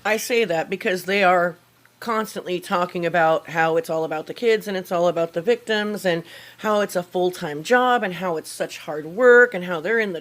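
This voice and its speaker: Lower-pitched American woman giving commentary. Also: fairly bright tone. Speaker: commentary video creator. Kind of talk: opinion commentary narration.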